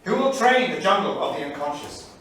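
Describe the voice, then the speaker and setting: An actor playing a brooding English intellectual of the 1930s in a staged reading, speaking in a grave, rhetorical manner. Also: male voice, sits high, animated, brisk, a bit bright. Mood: indignant, searching. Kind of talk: staged reading of a dramatic monologue. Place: small theatre studio. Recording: distant, echoey theatre recording with noise.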